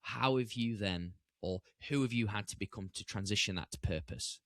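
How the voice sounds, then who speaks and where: A man's voice: on the low side, normal speed, fairly bright tone. A man hosting a podcast interview, talking casually with many fillers and restarts. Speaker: podcast host.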